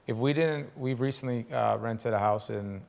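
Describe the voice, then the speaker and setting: A man's voice: mid-range voice, normal speed, vocal fry, a little mellow. Blunt, emphatic male podcast host. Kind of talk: casual podcast interview.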